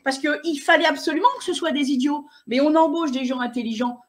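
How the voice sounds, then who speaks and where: A woman's voice: sits high, fairly quick. A woman speaking insistently as an interview guest, restarting her sentences often. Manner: repetitive restarts, indignant, insistent. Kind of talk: emphatic conversational interview.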